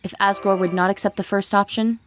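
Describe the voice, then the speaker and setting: A woman's voice: medium pitch, flat delivery, a little fast, a little hiss or hum. A woman reading scripted, dramatic storytelling narration.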